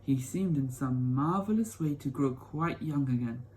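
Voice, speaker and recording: A man's voice: medium pitch, moderate pace, warm tone. A man reading a children's story aloud as the storyteller, in a narrating style.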